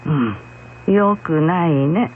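Frank, caring old village woman played by an actress, slow and melodic, for radio drama; dull hissy recording. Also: sits low, animated, exaggerated voice. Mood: concerned, admonishing. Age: older. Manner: unhurried, gently admonishing. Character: kindly, frank old blind woman acupuncturist. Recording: muffled radio recording with hum, faint noise.

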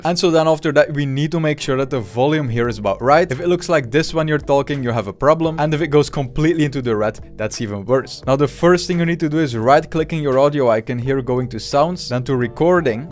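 A man's voice: fairly high pitch, darkish tone. Young man, tutorial host, chatty and upbeat, close studio mic, small home room, faint music behind. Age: young adult. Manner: upbeat, chatty explainer with casual fillers. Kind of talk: screen-recorded software tutorial. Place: small home streaming room with foam panels. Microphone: studio mic on boom arm, close.

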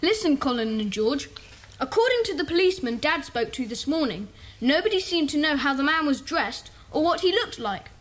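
A woman playing a sensible, bossy young boy who leads a children's club, brisk and commanding. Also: sits high, big pitch swings, fairly bright tone. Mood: businesslike.

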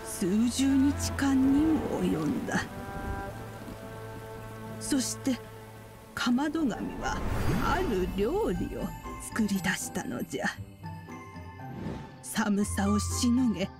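A woman's voice: a little high, animated, slow, long pauses, music in the background. A woman playing a wise, kindly elderly sage, telling legends in archaic, solemn speech for a Japanese video game. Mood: solemn storytelling.

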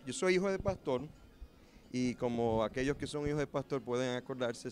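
Earnest musician with a handheld mic. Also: male voice, medium pitch. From New Jersey, USA. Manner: earnest, with frequent hesitations. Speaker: musician and producer. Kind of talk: interview answers. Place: expo booth, indoors.

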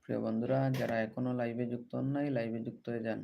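A man's voice: mid-range voice, little pitch movement, rapid-fire, vocal fry, slight background noise. A man hosting a casual livestream, greeting viewers and repeatedly appealing to them to take part. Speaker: livestream host. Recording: dull lo-fi livestream audio.

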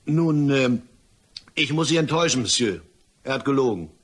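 A man acting in a German crime radio play as an evasive, rattled French gentleman, husky, stammering and hedging politely. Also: mid-range voice, expressive, normal speed. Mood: flustered.

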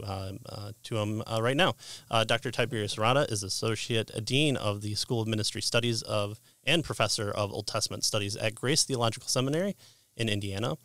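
A middle-aged man hosting a casual interview, speaking in a hesitant, halting way into a close microphone. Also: fairly low pitch, fairly bright tone, no room sound. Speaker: podcast host and interviewer. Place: indoor room lined with bookshelves. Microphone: close boom-arm microphone with headphones.

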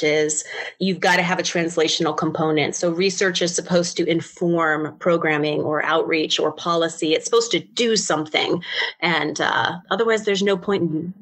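Female, American, middle-aged, lower register; researcher guest giving explanatory interview answers. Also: fairly even intonation, normal speed, fairly bright tone. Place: indoors, on a video call. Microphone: video call.

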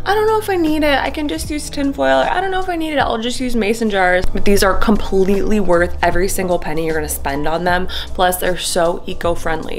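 A young woman chatting to her camera in a home kitchen, gushing and enthusiastic about her food. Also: mid-range voice. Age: young adult. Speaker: vlogger. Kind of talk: casual vlog chat to camera. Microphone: handheld camera held close.

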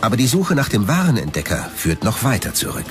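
A man's voice: medium pitch, huge pitch swings, brisk, music in the background. A man reading scripted documentary narration as the narrator.

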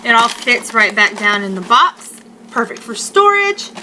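A woman's voice: on the high side, very animated, a little slow. A woman in her thirties giving a chatty, emphatic food review to camera in a casual unboxing style, recorded on a webcam in a living room. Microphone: webcam or laptop mic at arm's length. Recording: faint noise, home webcam sound.

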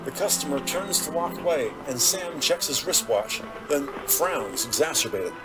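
A man's voice: a little high, lively intonation, moderate pace, poor audio. A man reading scripted narration for a detective audio drama. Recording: music under the voice. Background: dramatic score music.

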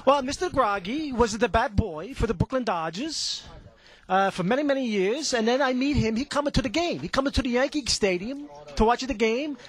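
A man playing an excitable, chatty Italian-American stadium peanut vendor who rambles and repeats himself in broken English. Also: extremely high pitch, moderate pace, husky, full character voice. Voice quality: broken English with stray articles. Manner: rambling, repetitive catchphrases. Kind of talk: improvised comic character patter.

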